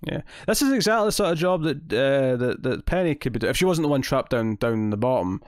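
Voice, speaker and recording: A man's voice: mid-range voice, expressive, quick pace, no room sound, studio quality. A young Scottish man chatting casually and in a rambling way as he co-hosts a review podcast. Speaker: podcast co-host. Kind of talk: casual chat, TV episode review. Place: indoors at home, online video call.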